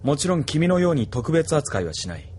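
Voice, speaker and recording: An actor voicing a cold, ambitious young officer who speaks in a cool, detached way, in a studio radio drama. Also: male voice, a little high, big pitch swings, a little fast, darkish tone, slight background noise. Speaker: military officer and pilot.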